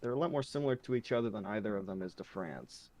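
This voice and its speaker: A man's voice: lowish voice, lively intonation, quick pace, a little mellow. A male guest on a livestream, chatting in an offhand, wry way. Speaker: guest co-reader. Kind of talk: casual chat.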